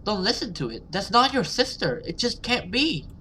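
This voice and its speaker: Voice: medium pitch, very animated, moderate pace, sharp tone, a little hiss or hum, voice pushed up. A video game player voicing an anxious young woman surviving a monster chase, in dramatic visual novel dialogue. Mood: shocked, anxious.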